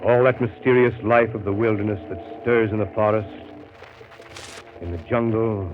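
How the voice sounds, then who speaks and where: An actor playing a brooding seafarer who recounts a jungle voyage in a measured, literary, dramatic 1930s radio style. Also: male voice, fairly low pitch, fairly even intonation, natural voice. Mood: brooding, intense. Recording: scratchy, narrow-band 1930s radio broadcast.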